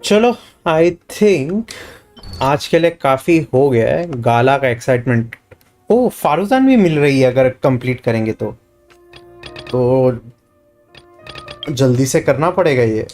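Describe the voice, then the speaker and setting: Male gaming streamer, casual host delivery, very quick, mid-pitched, faint music behind. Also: expressive, a little mellow. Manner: informal, mixes English into speech. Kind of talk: casual game-stream commentary. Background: faint game music.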